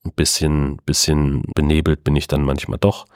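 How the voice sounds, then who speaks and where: A man's voice: extremely low pitch, flat delivery, normal speed, darkish tone, no echo, studio quality. A man hosting a solo podcast from home, telling stories in a rambling, chatty way with wry asides. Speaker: podcast host. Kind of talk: casual solo podcast monologue.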